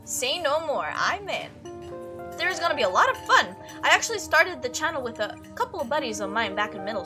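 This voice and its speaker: A woman acts out a young female video maker from a visual novel, high-voiced and very animated. She is chatty, casual and enthusiastic about hunting monsters. Music plays behind her. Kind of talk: visual novel voice-over read-through.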